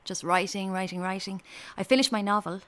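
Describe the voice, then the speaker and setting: A woman's voice: medium pitch, fairly even intonation, a little fast, fairly bright tone, natural voice. An actress playing a restless would-be writer in her twenties with an Irish accent, confiding drily in a radio drama. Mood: pleading, evasive. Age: 20s. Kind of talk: radio drama, first-person confiding narration and dialogue.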